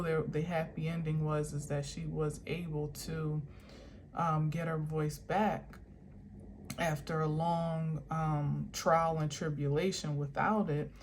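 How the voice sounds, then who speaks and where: A woman's voice: deep voice, a little mellow. A woman reading affirmation cards in a casual vlog, musing aloud and often restarting her sentences. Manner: meandering, musing, self-correcting. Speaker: spiritual tarot reader. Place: outdoor garden.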